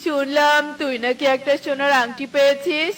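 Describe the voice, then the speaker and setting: A woman's voice: sits high, steady tone, normal speed, a bit bright, recorded from a distance, faint music behind. A woman voicing a sly, greedy male bird in a fairy-tale cartoon, with a wheedling, scheming manner.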